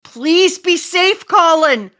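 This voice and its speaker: A woman's voice: a little high, expressive, a little slow, bright, forceful tone. A woman voicing a devoted, doting parent of a young son in a comic read-aloud. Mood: urgent, tender.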